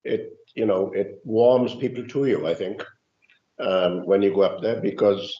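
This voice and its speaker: A councillor chats informally at a meeting. His voice is low and mellow, and the recording is roomy and dull. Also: a little slow. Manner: chatty and informal. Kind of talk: formal meeting comment.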